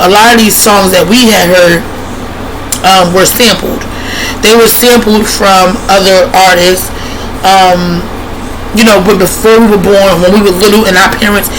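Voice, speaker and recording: A woman vlogging to her viewers in a casual, rambling way, hoarse and congested, full of fillers and restarts, coughing now and then. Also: medium pitch. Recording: clear vehicle noise behind.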